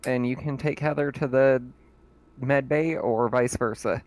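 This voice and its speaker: A man's voice: medium pitch, steady tone, a little slow, darkish tone. A man talking casually as a player in a tabletop roleplaying game.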